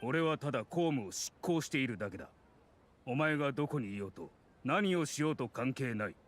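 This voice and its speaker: A man's voice: mid-range voice, expressive, racing, natural voice. A man acting a cold, domineering official in a Japanese anime game, curt and condescending. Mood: contemptuous.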